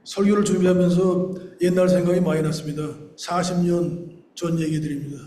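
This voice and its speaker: Korean-born pastor in an echoey sanctuary. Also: male voice, high-pitched, fairly even intonation. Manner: slow and deliberate in English, brisker in Korean; phrases trail off quietly at the ends. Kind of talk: sermon.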